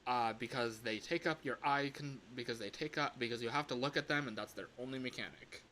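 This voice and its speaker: Man livestreaming over clearly audible background noise. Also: mid-range voice, fairly quick, poor audio. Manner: animated, exclaiming, self-interrupting and repeating words. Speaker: livestream host, game developer. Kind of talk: casual livestream chat, rambling. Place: room at home.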